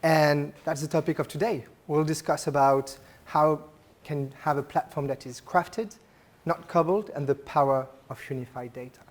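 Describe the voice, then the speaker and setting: A man's voice: fairly high pitch, fairly even intonation, normal speed. A man with a French accent giving a conversational talk to a live audience at a business event, often putting questions to the listeners. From France. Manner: engaging, polls the audience with questions; conversational, frequent "uh" and "right?".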